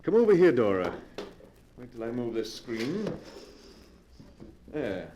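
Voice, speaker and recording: An actor playing a rich recluse in love, courtly and insistent, in golden age radio drama style. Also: male voice, medium pitch, big pitch swings, takes it slowly. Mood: ardent. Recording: noisy old radio recording with clicks.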